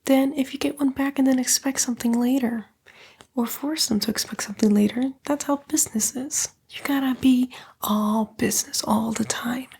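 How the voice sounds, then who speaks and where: A woman voicing a sly female demon in a whispered, breathy ASMR roleplay, coaxing and taunting the listener in a casual way. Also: high voice, fairly even intonation, normal speed, darkish tone.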